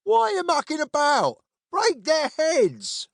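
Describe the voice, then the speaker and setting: A man's voice: on the high side, sing-song, takes it slowly, vocal fry, bright, forceful tone, dry recording, studio quality, pitched above the performer's own voice, exaggerated voice. A man voicing a drunken, belligerent male Roman soldier in an audiobook, boastful and bullying. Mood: jeering.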